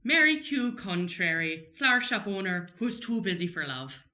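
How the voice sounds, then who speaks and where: A woman's voice: mid-range voice, sing-song, moderate pace, sharp tone. A woman who performs in an improv cast, talking in playful banter.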